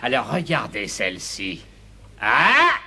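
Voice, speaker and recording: An actor playing an irascible, theatrical police chief who blusters in a French cartoon dub. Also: male voice, mid-range voice, wildly swooping pitch, takes it slowly, creaky, pushed and bright, slight background noise, heavily acted. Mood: inquisitive, pompous. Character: irascible police chief questioning and scolding his men.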